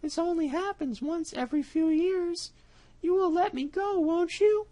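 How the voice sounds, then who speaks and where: A sly, greedy tomcat from a storybook, smooth-tongued and coaxing, as a woman reading aloud puts him on. The voice sits far above her own speaking pitch and draws its words out in a wheedling way. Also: unhurried, warm tone. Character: sly greedy male cat, smooth talker.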